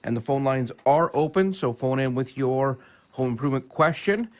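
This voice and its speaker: A man with a medium-pitched voice makes announcements on the radio. He is the show's operations manager, reading out details for listeners. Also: lively intonation, normal speed, a little mellow.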